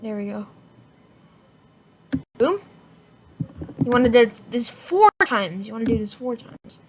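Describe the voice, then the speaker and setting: A woman giving a casual, unscripted software tutorial in an informal, rambling way, often correcting herself. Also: a little high, expressive, measured pace. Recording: noisy home recording with clicks.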